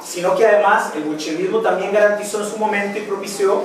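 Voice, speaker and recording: A man from Costa Rica delivers a political lecture, his throat sore and his pitch somewhat high. He talks in a measured way with many fillers and restarts. The mic sits far from him in a very reverberant room with clear background noise. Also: steady tone. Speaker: conference speaker. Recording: echoey hall, distant mic, clear noise.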